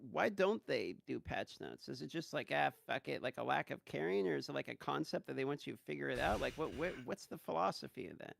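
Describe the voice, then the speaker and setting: A man on a podcast asking quick, curious questions in casual chat. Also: a little high, animated, gravelly, a bit bright, dry recording. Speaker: podcast guest.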